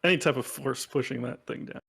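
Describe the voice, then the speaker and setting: A man's voice: mid-range voice, fairly quick, creaky, a bit bright, slightly rough. A man playing a tabletop game, talking casually as a player at the table.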